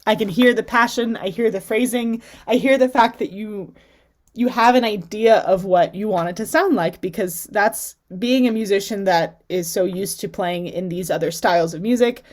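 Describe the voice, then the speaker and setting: A woman's voice: medium pitch, normal speed. Enthusiastic woman teacher on a call. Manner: enthusiastic, encouraging, laughs often. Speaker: flute teacher and masterclass host. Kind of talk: casual masterclass chat on a video call. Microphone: video call.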